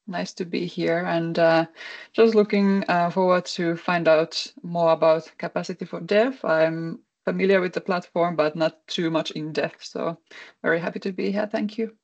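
A woman's voice: fairly low pitch, gravelly. A woman from Finland introducing herself as an event manager on a video call, polite with some hesitation. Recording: muffled video-call audio.

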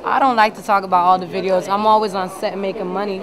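A woman's voice: mid-range voice, little pitch movement, quick pace. A young woman talking in a slangy, boastful way in a casual on-camera interview. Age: young adult. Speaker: music video performer. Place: large indoor party venue video set. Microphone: handheld camera close by. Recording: loud crowd chatter behind.

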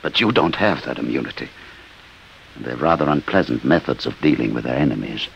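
Very deep, gravelly, grave inventor. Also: male voice, lively intonation, fairly bright tone, exaggerated voice. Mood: urgent warning. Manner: grave, deliberate. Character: remorseful, grave inventor of humanoid robots. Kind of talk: 1950s radio drama acting. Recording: hissy, dull vintage radio recording.

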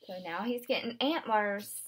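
A woman's voice: medium pitch, a little slow, breathy edge. A woman in her twenties, a kindergarten teacher, reading a picture book aloud expressively and explaining it simply for young children. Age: 20s. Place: small furnished room indoors.

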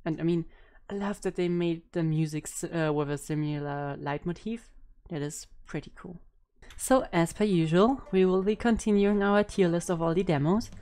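Low-voiced young woman, casual reaction commentary. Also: normal speed, warm tone. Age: young adult. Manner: informal, hesitant, thinking aloud. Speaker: reaction video host and streamer. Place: indoor room at home. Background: music.

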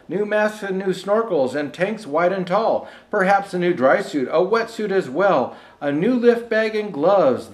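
An older man playing a jolly old Santa Claus, gently reading a rhyming storybook aloud with chatty asides. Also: high voice. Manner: gentle storyteller, showing pictures to listeners. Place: indoor room set with fireplace and tree. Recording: echoey room.

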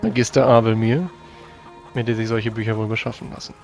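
A man speaking in character in a tabletop role-playing game, with formal, measured phrasing. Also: fairly low pitch, little pitch movement, darkish tone, soft background music. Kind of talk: in-character improvised game dialogue.

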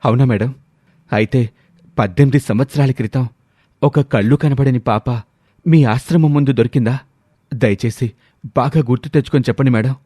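An anxious father, voiced by a man in an audio moral story, pleading politely and urgently. The voice sits at a medium pitch, recorded dry and close. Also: moderate pace, long pauses, warm tone, no echo, close to an everyday voice. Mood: urgent hope. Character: anxious pleading younger father, slyly persuasive.

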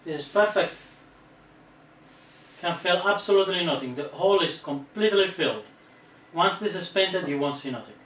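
A man's voice: high voice, lively intonation, normal speed, long pauses. A man in his thirties talks through a DIY build step by step, explaining plainly as he works. He is recorded indoors at home by a camera mic some distance away. The room is echoey and there is faint background noise. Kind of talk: DIY tutorial narration. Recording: roomy echo, voice off-mic.

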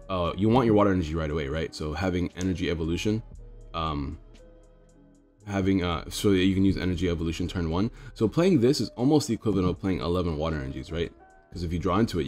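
Fast-talking stuffy-nosed young man. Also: sits low, a little mellow. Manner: rambling, explanatory, addresses viewers as guys. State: stuffy nose. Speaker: card game streamer. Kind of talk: casual deck tech commentary.

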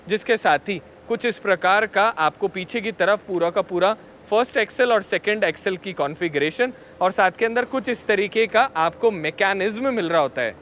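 A man's voice: high voice, a bit bright, a little hiss or hum. A man presenting a vehicle walkaround in a chatty way, talking to his viewers, recorded outdoors at an expo. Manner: chatty, addresses viewers as friends. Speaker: vehicle reviewer.

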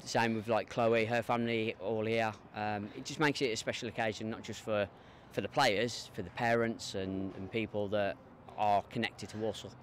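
A middle-aged man, a football coach, giving measured, hesitant answers in a post-match interview outdoors. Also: fairly low pitch, level tone. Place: open football stadium pitchside, outdoors. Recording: outdoor noise behind.

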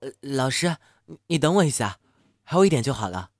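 A man playing an earnest, easily flustered high-school boy in an amateur campus audio drama. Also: very animated, brisk. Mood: sincere.

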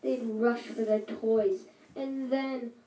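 A woman reading a rhyming story aloud at a school shadow puppet show, slow and rhythmic. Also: a little high, steady tone, dark tone. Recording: echoey room from far off.

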